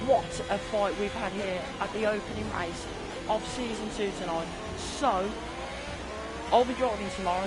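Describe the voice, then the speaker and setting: A man commentating a sim racing league with excitement, his voice strained and fading. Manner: excitable, voice climbing high at big moments. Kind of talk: excited live race commentary. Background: music.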